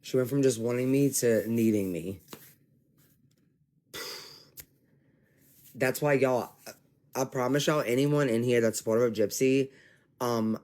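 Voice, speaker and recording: A man giving casual livestream commentary, exasperated and animated, talking straight to his viewers. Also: medium pitch. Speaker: commentary streamer.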